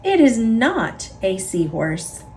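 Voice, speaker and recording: A woman reading a children's picture book aloud in an expressive, deliberate storytelling way for young listeners. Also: fairly high pitch, slight background noise. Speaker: storybook reader. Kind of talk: children's read-aloud narration.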